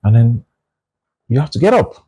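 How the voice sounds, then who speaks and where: A man's voice: medium pitch, very animated, normal speed, warm tone, husky. A Nigerian man in his forties with a Nigerian English accent, speaking as an interview guest and reflecting aloud in casual conversation.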